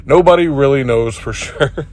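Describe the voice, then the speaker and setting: A man's voice: mid-range voice, normal speed, a little mellow, dry recording. Congested man chatting casually. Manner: laid-back, joking, swears freely. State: congested with a cold. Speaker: truck driver. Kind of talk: casual driving vlog.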